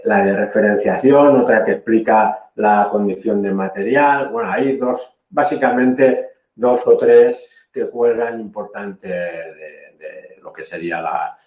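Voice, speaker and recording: Guy from spain teaching a class online, keeps going "eh?", sounds tinny and roomy. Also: on the low side. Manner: explanatory, frequent "¿eh?" checks, self-corrections. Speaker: technical trainer. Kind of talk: online training lecture.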